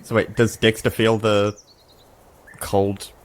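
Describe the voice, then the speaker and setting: A man's voice: fairly low pitch, flat delivery, slow. A man, chatting casually as a player at a tabletop game.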